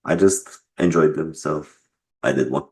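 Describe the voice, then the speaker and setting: A man's voice: rumbling low, moderate pace, warm tone. A man being interviewed as a game developer, talking casually and reflectively about his creative work.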